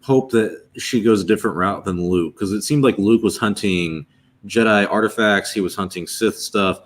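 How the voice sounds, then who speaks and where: A man's voice: fairly low pitch, moderate pace. A man in his twenties hosting a casual livestream, rambling and restarting as he reads chat and chats aloud. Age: 20s. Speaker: streamer host.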